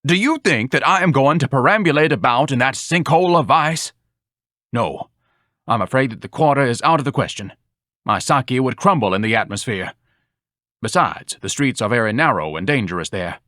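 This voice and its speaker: Man voicing a pompous grandiloquent young man, self-pitying, lofty drawl, higher than usual, dry sound. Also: lively intonation, moderate pace, bright, forceful tone, dry recording. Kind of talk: audiobook dialogue.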